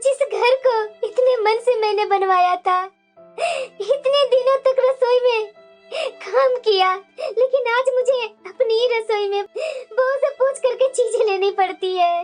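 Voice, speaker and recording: A woman as a high-voiced, wounded elderly mother-in-law. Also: fast, hardly any pauses, warm tone, soft background music. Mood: hurt, complaining. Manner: plaintive, self-pitying. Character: hurt, meek, long-suffering elderly mother-in-law. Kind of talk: Hindi family drama story dialogue.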